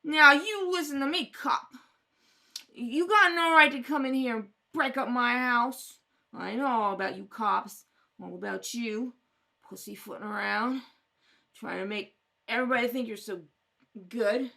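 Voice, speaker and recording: A woman acts out a brash, boozy mother in a radio crime play. Her voice is high and piercing. She is slangy, wounded and quick to blame everyone else. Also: creaky, pushed and bright, exaggerated voice. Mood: furious, scornful. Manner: slangy, brash, wailing, self-justifying. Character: angry, self-pitying hard-drinking neglectful mother. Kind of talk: old-time radio crime drama acting.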